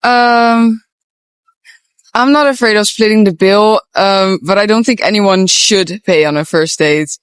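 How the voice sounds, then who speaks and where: A man from the Netherlands answering questions on a city street, relaxed and frank with casual slang. His voice is high. The sound is dry. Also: measured pace, smooth, no room sound. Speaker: interviewee.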